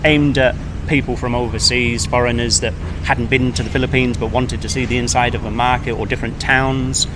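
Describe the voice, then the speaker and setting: Brief: man in his fifties, blended English-Australian accent, mid pitch, easy chatty storyteller, noisy street behind. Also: steady tone, a little fast, few pauses. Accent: mixed English and Australian. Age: 50s. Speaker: guest. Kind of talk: casual interview chat. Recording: noisy background with traffic.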